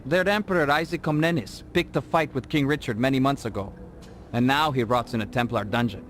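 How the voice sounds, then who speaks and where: A man's voice: mid-range voice, a little fast, a bit bright, a little hiss or hum, close to an everyday voice. A man voicing a respectful, well-informed assassin aide briefing his master in a video game. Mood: reflective, dutiful. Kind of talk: scripted game cutscene acting.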